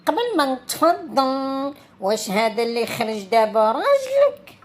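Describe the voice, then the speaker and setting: A woman's voice: sits high, sing-song, moderate pace. A woman playing a nosy, gossipy new neighbour in a comic cartoon, with a Moroccan accent and an insinuating manner. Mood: feigned friendliness. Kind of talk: comic cartoon dialogue in dialect.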